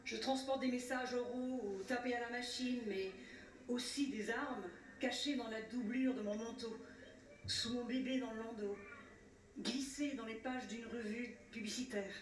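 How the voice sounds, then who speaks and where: A woman delivering a solemn scripted commemorative speech in a measured, declamatory way, with rhetorical repetition and pauses. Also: a little high, fairly even intonation. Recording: roomy, distant mic with faint noise.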